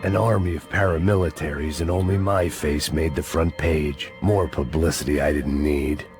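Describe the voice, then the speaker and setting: An actor playing a jaded, world-weary middle-aged bodyguard with an American accent, deadpan and cynical. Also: male voice, rumbling low, normal speed. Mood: cynical, brooding. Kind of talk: noir game narration and tense dialogue. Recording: loud music under the voice.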